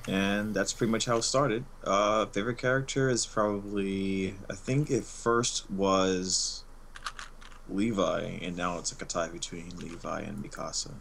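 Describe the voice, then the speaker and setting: Man, deep low voice, laid-back, hesitant, faint hiss behind. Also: steady tone, a little slow, vocal fry, fairly bright tone. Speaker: panelist. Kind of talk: casual livestream chat.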